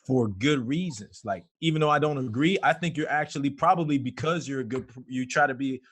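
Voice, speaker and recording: A man from Haiti hosting a podcast, earnest and agreeable, backing up his guest with steady "right"s. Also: medium pitch, fairly quick, breathy edge. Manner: agreeable, keeps saying "right" and "yeah", earnest. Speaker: podcast host. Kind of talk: casual conversation.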